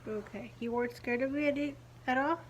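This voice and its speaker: A young woman with a slightly high voice chats into her phone at home, sounding blocked-up and a little muffled, as if she cannot move her lips freely. She rambles on in an easy video-diary way, full of "um" and "like". A faint hiss sits under the voice. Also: a little fast. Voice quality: stiff-lipped, slightly muffled speech. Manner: chatty, rambling, many fillers. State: congested, stuffy-nosed after nose surgery. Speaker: beauty vlogger. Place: living room at home. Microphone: phone camera at arm's length.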